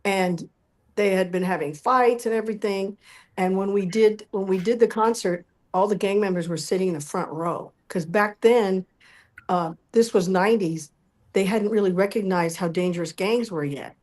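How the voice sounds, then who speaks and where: A middle-aged woman telling animated personal stories as an interview guest, often acting out what people said. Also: mid-range voice, normal speed. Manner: acts out quoted dialogue. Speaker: interview guest, musical performer.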